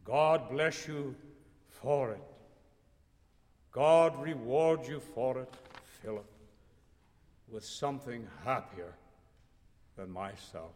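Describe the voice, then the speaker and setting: A man's voice: mid-range voice, slow pace, long pauses, a little mellow, lightly acted. A man voicing a tender, anxious young widow who pleads in a raised, breaking voice. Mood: tender, troubled. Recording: long hall reverb.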